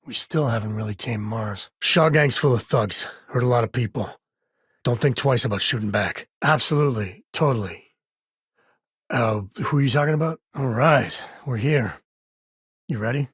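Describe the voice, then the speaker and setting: An easygoing space ranger dad for a game, kinda husky, wry folksy drawl, super dry sound. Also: male voice, medium pitch, normal speed, dry recording. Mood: casual. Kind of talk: video game voice acting.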